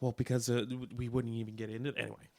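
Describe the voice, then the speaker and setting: Man, thirties, casual radio banter, expressive mid-range voice, dry studio sound. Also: medium pitch, rapid-fire, gravelly, no room sound. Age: 30s. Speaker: community radio co-host. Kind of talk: casual chat and event announcements.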